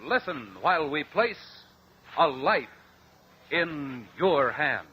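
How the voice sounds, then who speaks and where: A man announcing a radio drama in a grave, portentous, deliberate scripted style. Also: a little high, expressive. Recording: dull old radio broadcast recording. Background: music.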